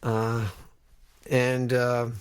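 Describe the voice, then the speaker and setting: A man's voice: medium pitch, completely flat delivery, very slow, clear, resonant tone. A man of fifty hosting a podcast in a casual, conversational style, talking directly to his listeners as he introduces things. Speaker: podcast host.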